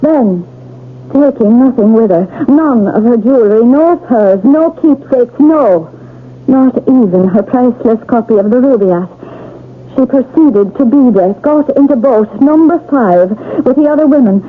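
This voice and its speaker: High-voiced troubled wife, old radio drama. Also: female voice, lively intonation, a little slow, phone-quality sound. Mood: entranced. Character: troubled wife, trancelike as she recounts a shipwreck. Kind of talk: 1970s radio mystery drama acting. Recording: thin, band-limited old radio recording, noisy.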